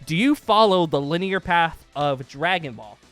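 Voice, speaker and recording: A man hosting a casual, excited gaming vlog, rambling along and repeating phrases for emphasis. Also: fairly high pitch, moderate pace, faint music behind. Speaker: YouTube host pitching a gaming roleplay series. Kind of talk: casual excited vlog chat over gameplay.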